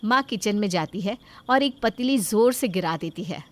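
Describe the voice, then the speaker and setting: A woman's voice: mid-range voice, lively intonation, normal speed, no echo. A woman telling a scripted moral story as a dramatic narrator.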